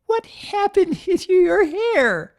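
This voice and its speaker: A woman's voice: on the high side, wildly swooping pitch, moderate pace, a little mellow, voice pushed up. A woman voicing a hyperactive, giggly young pony mare. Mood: giddy. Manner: bubbly, giggling.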